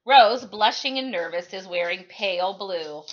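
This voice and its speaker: A woman reading a novel aloud like a teacher at storytime, with animated, emphatic delivery. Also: mid-range voice, unhurried, highs cut off. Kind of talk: expressive storytime read-aloud.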